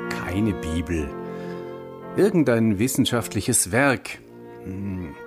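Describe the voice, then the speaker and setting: A villager in a radio play, studying an old book aloud. The voice is very deep and slow yet widely inflected, deliberate, with music behind. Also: female voice, exaggerated voice. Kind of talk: radio drama acting, reading aloud.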